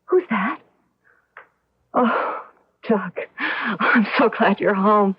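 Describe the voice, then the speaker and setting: An eager young wife who frightens easily in an old radio drama. She speaks earnestly and with feeling at a medium pitch, on a dull, dated recording. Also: animated, very slow, heavy background noise. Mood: distressed.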